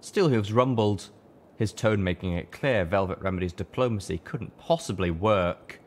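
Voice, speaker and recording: A man's voice: fairly low pitch, moderate pace. A man reading a scripted first-person fantasy story aloud as narrator. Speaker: audiobook reader.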